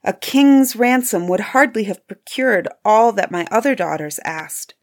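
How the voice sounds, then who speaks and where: A woman's voice: mid-range voice, lively intonation, unhurried, close to an everyday voice. A woman voicing a frightened, humble merchant father in a storybook, courteous and pleading. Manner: imploring, courteous, anxious. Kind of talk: storybook character voice.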